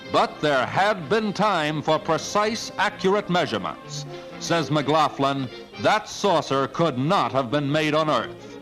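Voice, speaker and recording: A man's voice: a little high, low-quality recording. An American man narrating a 1950s newsreel in an emphatic, portentous way, on an old film soundtrack. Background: newsreel music.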